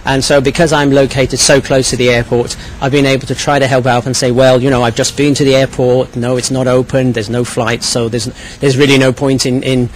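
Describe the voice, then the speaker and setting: A man giving a measured, explanatory account in an interview, sometimes repeating phrases as he goes. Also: medium pitch, flat delivery. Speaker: hotel owner and tourist police volunteer interviewee. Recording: clearly noisy location recording with ambient sound.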